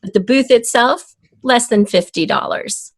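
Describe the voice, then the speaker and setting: A woman being interviewed as an audio drama creator, explaining her ideas in a measured, deliberate way. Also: fairly high pitch, husky, no echo.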